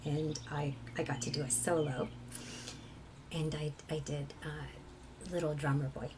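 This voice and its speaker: A woman from England with a low, husky voice, a singer telling personal stories in a reflective conversation. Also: flat delivery, measured pace, background noise. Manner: reflective, laughs often while telling stories. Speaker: kirtan singer.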